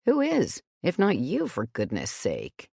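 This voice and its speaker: A woman's voice: low-pitched, wildly swooping pitch, a little slow, vocal fry, mellow, husky, no echo, studio quality, exaggerated voice. A woman reading voices a frank female friend with a teasing, chiding manner.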